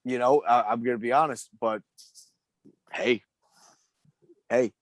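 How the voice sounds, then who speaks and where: A man's voice: mid-range voice, steady tone, measured pace, no room sound. A middle-aged man chats as a podcast co-host. His delivery is wry and sweary, and he often repeats himself. The sound is dry, with no room on the voice. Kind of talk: casual comedic podcast chat.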